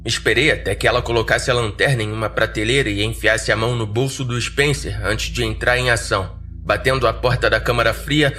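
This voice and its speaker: A man's voice: mid-range voice, steady tone, a little fast, hardly any pauses, pushed and bright. A Brazilian man narrating a scripted horror story in the first person as a podcast narrator. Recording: roomy echo, faint music behind.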